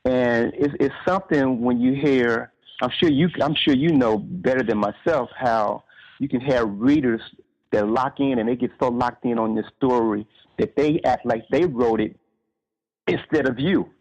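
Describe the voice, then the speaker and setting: Man in a halting, dull-sounding interview. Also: mid-range voice, darkish tone. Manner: halting, repeats words while thinking. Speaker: author being interviewed. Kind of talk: conversational interview, telling anecdotes.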